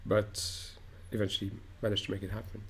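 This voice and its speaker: A man's voice: on the low side, flat delivery, fairly quick, husky. A middle-aged man from Morocco, an opera director, answers interview questions thoughtfully, with frequent restarts and fillers.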